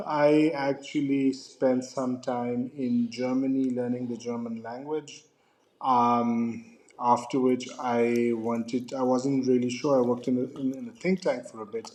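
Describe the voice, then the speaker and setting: A man from Pakistan, a guest on a podcast, talks about his background with a warm, mellow tone. His pitch stays steady and flat. He speaks politely and restarts a phrase now and then. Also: low-quality recording. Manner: measured, polite, some restarts. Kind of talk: interview answers.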